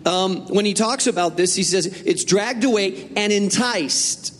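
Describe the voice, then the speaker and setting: A man's voice: sits high, unhurried, long reverb tail. A man from northern Spain preaching a sermon as a pastor, earnest and conversational, repeating his key points.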